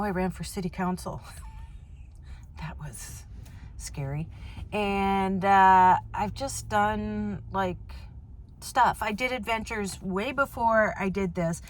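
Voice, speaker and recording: A woman's voice: mid-range voice, takes it slowly. A woman, chatting casually to camera in a van in a rambling way, full of fillers. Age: 50s. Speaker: vlogger. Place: inside a van.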